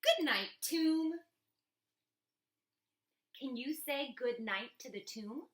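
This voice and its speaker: Female primary teacher for picture-book read-aloud; unhurried, animated pitch, encouraging, in an echoey room. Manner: expressive, encouraging, asks the listener questions. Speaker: elementary school teacher.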